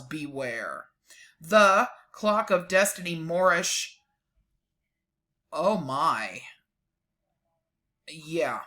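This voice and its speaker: Bright-voiced woman reading slowly and dramatically. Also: mid-range voice. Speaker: presenter reading a document aloud with asides. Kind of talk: dramatic reading with casual mocking asides.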